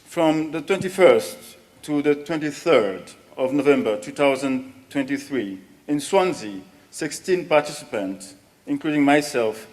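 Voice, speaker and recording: Middle-aged man from West Africa, measured formal reading, echoing hall. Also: a little high, expressive, darkish tone. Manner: measured, reading from notes at a lectern. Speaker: speaker at a parliament event. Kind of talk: formal prepared speech, bilingual. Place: large hall with long reverb. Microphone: lectern mic. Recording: long hall reverb.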